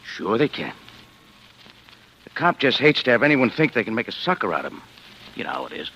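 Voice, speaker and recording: An actor playing a wry, skeptical homicide lieutenant in a 1940s radio drama, with a sardonic, needling, colloquial delivery. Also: male voice, medium pitch, sing-song, brisk, creaky, sharp tone, breathy edge, heavily acted. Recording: dull, lo-fi vintage radio recording.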